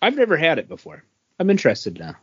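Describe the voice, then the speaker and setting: A man's voice: on the high side, wildly swooping pitch, fast talker, breathy edge, highs cut off. A man co-hosting a podcast, chatting casually. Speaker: podcast co-host. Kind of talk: casual chat.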